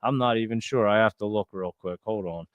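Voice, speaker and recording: A man's voice: on the low side, a little fast, smooth, no room sound, phone-quality sound. A man in his forties with a New Jersey accent, rambling casually as he hosts a live stream and answers viewer comments. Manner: rambling, chatty, lots of fillers and restarts. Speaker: livestream host.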